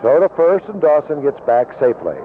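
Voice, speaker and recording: A man calling baseball play-by-play for radio in clipped, descriptive phrases with pauses between plays. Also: on the high side, sing-song. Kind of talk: live sports commentary. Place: outdoor ballpark broadcast booth. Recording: noisy narrow-band 1970s AM radio broadcast.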